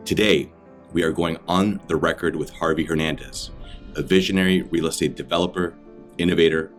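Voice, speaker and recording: A man hosting a real estate podcast, reading a polished, upbeat intro and asking interview questions. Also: sits low. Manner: upbeat, polished announcer delivery. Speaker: podcast host.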